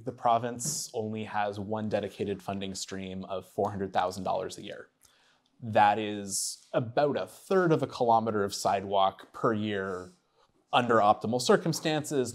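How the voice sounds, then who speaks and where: A man's voice: on the low side, darkish tone. A man giving a talk, laying out budget numbers in a matter-of-fact way. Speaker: speaker on transport funding. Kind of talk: presentation talk.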